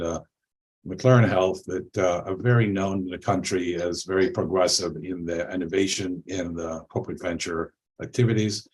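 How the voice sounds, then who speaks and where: A man from Israel speaking as a panel guest over a video call, relaxed and conversational with many fillers. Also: low-pitched, husky, low-quality recording. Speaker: panel guest, angel investor.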